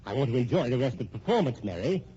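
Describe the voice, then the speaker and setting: A man's voice: medium pitch, sing-song, racing, warm tone, husky. A man playing a vengeful, gloating schemer in an old-time radio thriller, theatrical, menacing and taunting. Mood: coldly menacing. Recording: dull, noisy old radio recording.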